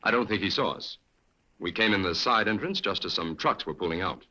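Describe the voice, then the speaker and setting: An actor playing a calm, polite detective who asks probing questions in an old radio drama. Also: male voice, sits low, brisk, full character voice. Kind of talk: 1940s radio acting.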